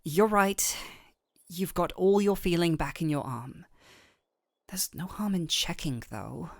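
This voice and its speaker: A gentle fantasy doctor voiced by a woman in an intimate audio roleplay. She speaks very slowly in a slightly low, soft voice that slips toward breathy near-whispers. Her manner is affectionate and teasing, and the sound is close and dry. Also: no echo. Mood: mock exasperated.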